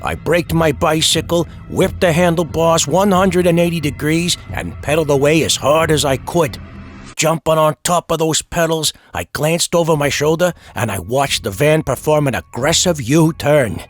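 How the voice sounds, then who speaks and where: A man's voice: a little high, normal speed, faint music behind, close to an everyday voice. A man playing a streetwise narrator who dramatically recounts a frightening boyhood night, with wry asides. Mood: tense, suspenseful. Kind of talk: dramatic first-person horror storytelling.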